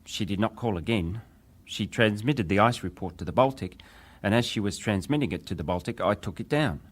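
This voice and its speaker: Young british ship radio operator testifying, real low voice, polite and precise, audio drama. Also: male voice, expressive, fairly quick. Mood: precise, factual. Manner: formal, deferential, precise. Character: young male British Titanic wireless operator, deferential witness. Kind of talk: dramatized reading of hearing testimony.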